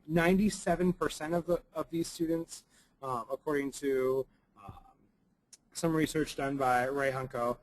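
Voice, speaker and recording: Slightly raspy young man presenting. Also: on the high side, poor audio. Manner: halting, many ums and restarts. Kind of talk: recorded conference presentation.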